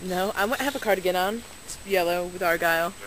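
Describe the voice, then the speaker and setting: A woman's voice: on the low side, quick pace. A young woman chatting casually with friends in a playful, slangy, teasing way. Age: young adult. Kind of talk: casual chat among friends. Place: outdoor park by a pond, windy and cold. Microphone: handheld camera at arm's length. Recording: noisy outdoor handheld camera audio.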